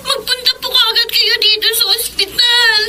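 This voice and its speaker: A woman's voice: extremely high pitch, level tone, moderate pace. A woman playing a tearful, helpless young daughter in a radio drama, crying as she speaks. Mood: tearful, grieving. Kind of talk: Filipino radio drama acting. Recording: loud music under the voice.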